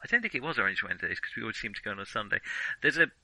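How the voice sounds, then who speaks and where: A man's voice: lowish voice, animated, rapid-fire, pushed and bright, no room sound, poor audio. A middle-aged British man chatting casually as a podcast co-host, reflective and ready with his opinions. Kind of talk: casual chat about films.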